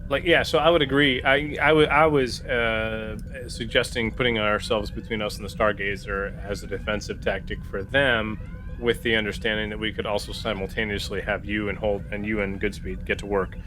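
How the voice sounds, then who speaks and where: A man's voice: fairly low pitch, fairly even intonation, normal speed, pushed and bright. A man taking part in a tabletop role-playing game, casually talking through the options as a player. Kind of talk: casual out-of-character game discussion. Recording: faint background noise.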